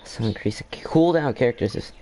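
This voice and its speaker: A man's voice: medium pitch, lively intonation, fairly quick, warm tone, a little hiss or hum. A man giving casual, off-the-cuff commentary while he plays a video game. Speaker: gamer, channel host.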